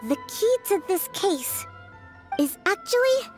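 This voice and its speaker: A woman playing a gentle, composed young priestess in an anime-style game, polite and measured. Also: very high-pitched, sing-song, soft background music, heavily acted. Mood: thoughtful, polite.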